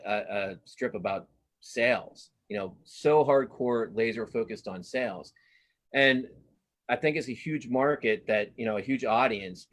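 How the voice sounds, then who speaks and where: A man's voice: fairly low pitch, a bit bright. A man speaking as a cartoonist guest in a casual interview, informal and rambling, often interrupting himself. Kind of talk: casual interview chat about own work.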